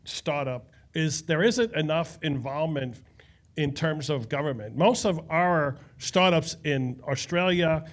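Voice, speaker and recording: A man's voice: fairly high pitch, lively intonation. Rambling older man asking from the audience. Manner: rambling, halting with repeated words. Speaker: audience member. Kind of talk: audience question at a panel.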